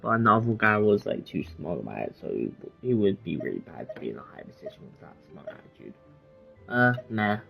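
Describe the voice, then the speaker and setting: A man giving casual, halting, rambling commentary as he plays through a game. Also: fairly low pitch, very animated, gravelly. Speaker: game streamer. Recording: muffled lo-fi mic, game music behind.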